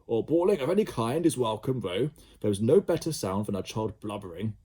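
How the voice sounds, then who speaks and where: A man's voice: medium pitch, dark tone, breathy edge. A man voicing a bald, pompous older male headmaster who rants and barks out his rules. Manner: ranting, barking out rules.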